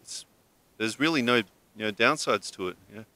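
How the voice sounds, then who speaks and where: A young man speaks haltingly into a handheld mic outdoors, leaning on "you know". His mid-pitched voice is bright and clear. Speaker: interviewee, veteran larp player. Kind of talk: casual interview answer. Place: outdoors at a convention.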